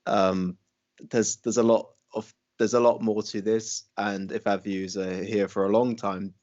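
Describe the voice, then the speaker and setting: A man's voice: on the low side, little pitch movement, a little slow, a little mellow, lo-fi sound. A young man from Wales, with an accent that mixes English, American and Welsh, co-hosting a podcast in casual, rambling talk full of ums and restarts. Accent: mixed English, American and Welsh. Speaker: podcast co-host. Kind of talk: casual chat.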